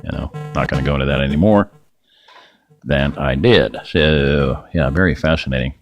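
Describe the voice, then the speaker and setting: A middle-aged man hosting a rambling, folksy screen-share talk, his voice getting raspy and dry. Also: rumbling low, moderate pace, faint music behind. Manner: rambling, folksy, self-interrupting. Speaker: video host.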